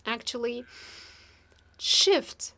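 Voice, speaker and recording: A woman working as a live interpreter of a formal keynote, speaking in halting, piecemeal phrases with stretched words. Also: on the high side, expressive, bright, forceful tone. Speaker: simultaneous interpreter.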